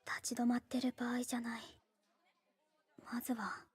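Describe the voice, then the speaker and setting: A wistful teenage schoolgirl from a video game. Her voice is high, soft and extremely breathy, recorded dry, and she speaks hesitantly, as if thinking to herself. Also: monotone, fast talker, no echo. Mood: hesitant, resolving. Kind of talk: Japanese video game voice acting, inner monologue.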